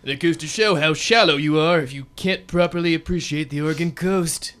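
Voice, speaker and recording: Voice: mid-range voice, brisk, fairly bright tone, lightly acted. A young performer playing a pompous, exasperated detective boss in a comic radio serial, wordy and indignant. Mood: scolding, smug. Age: young adult. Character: pompous hard-boiled detective boss, exasperated and self-important. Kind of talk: comic radio serial acting with noir narration. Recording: guitar music behind the voice.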